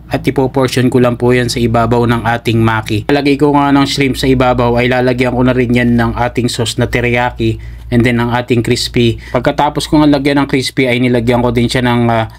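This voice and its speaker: Flat-toned Filipino man narrating a cooking vlog. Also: mid-range voice. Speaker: cook and vlogger. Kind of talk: casual voice-over narration of cooking steps.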